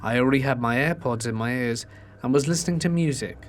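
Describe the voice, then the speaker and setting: A man narrating true ghost stories in a steady storytelling delivery, reading submitted accounts. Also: medium pitch, fast talker, slight background noise.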